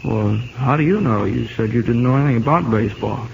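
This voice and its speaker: An actor playing a shy, modest young country ballplayer who stammers boyishly in a 1950s radio drama. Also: male voice, mid-range voice, a little fast, vocal fry, natural voice. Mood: bashful. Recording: muffled, noisy old radio recording.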